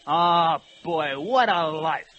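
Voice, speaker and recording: A man's voice: a little high, slow, muffled recording, slight background noise. An actor playing a slangy, plaintive, lovelorn male locomotive with tough-guy grammar, in 1940s radio style. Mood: dreamy, wistful.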